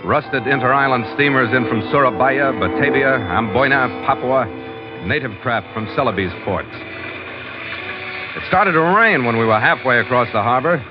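A man's voice: mid-range voice, moderate pace, close to an everyday voice. Hardboiled sea captain narrator, a male actor, muffled radio. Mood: brooding, matter-of-fact. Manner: terse, wry, matter-of-fact. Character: hardboiled, seasoned American ship's master, man. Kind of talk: 1940s radio drama narration. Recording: muffled vintage radio, music underneath.

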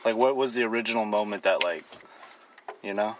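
A young man co-hosts a podcast and draws out his guest with relaxed, slangy questions. His voice sits somewhat low for a man. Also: brisk, a little hiss or hum. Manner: easygoing, probing, slangy questions. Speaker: podcast co-host. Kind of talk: casual podcast interview.

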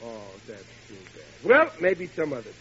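An actor playing a bumbling, eager husband who stammers when flustered, in old-time radio sitcom style. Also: male voice, on the high side, wildly swooping pitch, moderate pace, sharp tone, heavily acted. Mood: nervous, bashful. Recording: muffled, hissy vintage radio broadcast.

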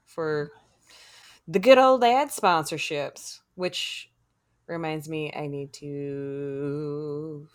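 A woman's voice: sits low, drawn-out words, pauses often, smooth, no room sound, clean studio sound. A woman with a soothing voice, casually hosting a conversational podcast. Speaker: podcast co-host.

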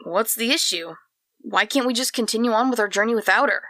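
A woman's voice: a little high, a little fast, pushed and bright, no room sound. A woman voicing a small, grumpy, bossy plant creature with a snippy manner in a comedic fantasy audio drama. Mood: grudging. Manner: snippy, impatient, boastful.

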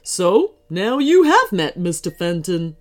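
Woman voicing a kindly, slow-talking old storybook man. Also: on the low side, sing-song. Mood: friendly, welcoming. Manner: unhurried, chuckling, reassuring. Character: kindly old man, gentle keeper of dinosaur secret. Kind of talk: children's storybook character voice.

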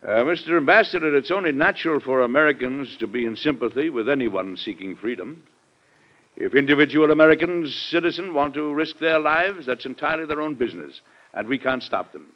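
A man's voice: a little high, a little fast, close to an everyday voice. Actor as a dignified fatherly president, muffled. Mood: firm, statesmanlike. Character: fatherly, dignified older male American president. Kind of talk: old-time radio drama acting. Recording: muffled vintage radio recording.

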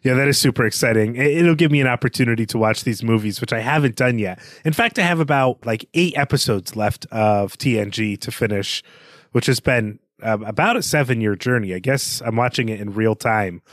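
A man's voice: medium pitch, a little fast, no echo, clean studio sound. A man co-hosting a literary podcast, talking conversationally in a thoughtful, hedging way as he analyses a book. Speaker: podcast host.

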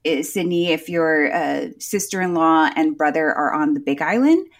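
A woman's voice: low voice, animated, moderate pace. A woman from Hawaii, co-hosting a podcast and chatting casually and enthusiastically about book picks. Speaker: podcast co-host recommending books. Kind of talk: casual chat.

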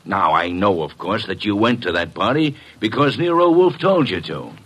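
Husky, stern police inspector. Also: male voice, lowish voice, expressive, moderate pace. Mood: stern, exasperated. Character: stern, exasperated police inspector, interrogating doggedly. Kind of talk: old-time radio drama acting.

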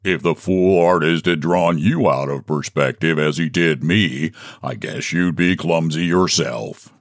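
An angry, grumbling donkey from a storybook, voiced by a man. The voice is pushed down low. It is blunt and clumsy, with a dry sound. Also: unhurried, dry recording.

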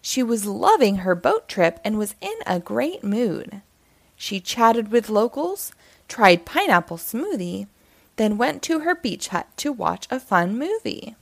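A woman reads a children's story aloud in a fairly high, very lively voice. She takes her time over the narration, and the sound is close and dry. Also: unhurried, creaky, no room sound. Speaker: children's book reader. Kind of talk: expressive read-aloud storytelling.

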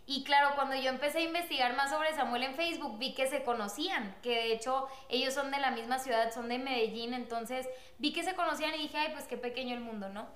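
High-voiced woman telling a story. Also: brisk, few pauses, roomy sound. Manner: animated, laughs often, acts out quotes. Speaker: guest telling their love story. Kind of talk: casual storytelling.

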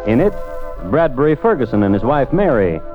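A man hosting and narrating a scripted old-time radio true-crime show in a deliberate, dry, literary way. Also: mid-range voice, big pitch swings. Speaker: host and narrator. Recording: muffled vintage radio recording with music.

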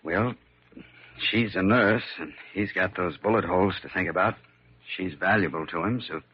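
A steady male police lieutenant with a low, husky voice. He is businesslike and reassuring, in old radio drama style with muffled sound. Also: normal speed. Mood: resolute. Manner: matter-of-fact, reassuring.

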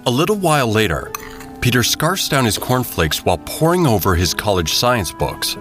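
A man narrating a scripted audio drama as a measured, descriptive storyteller. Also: medium pitch, sing-song, fairly bright tone, faint music behind. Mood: storytelling.

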